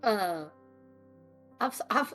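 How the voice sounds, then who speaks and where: A woman improvising in a tabletop role-playing game, talking in a halting, stammering way. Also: medium pitch, expressive, fairly bright tone, soft background music. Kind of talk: improvised character acting and casual play.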